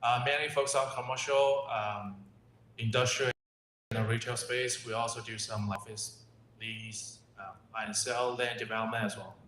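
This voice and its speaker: A man's voice: mid-range voice, steady tone, normal speed, fairly bright tone. A man, a real estate agent, describing his work in a plain, matter-of-fact way. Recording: echoey room, faint noise.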